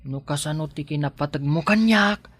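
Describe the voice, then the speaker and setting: A man's voice: on the high side, moderate pace, fairly bright tone. A man playing a devoted, anxious young husband and expectant father in an audio drama, affectionate and conversational. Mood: tender, teasing.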